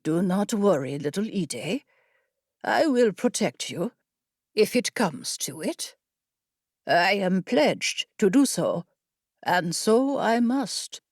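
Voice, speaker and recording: Woman voicing a gruff, blunt desert guide woman. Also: mid-range voice, very animated, slow, no echo, heavily acted. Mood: solemn, reassuring. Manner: blunt, dry, condescending. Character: gruff, scowling tall desert guide woman, stern and unapologetic. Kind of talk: audiobook character voice.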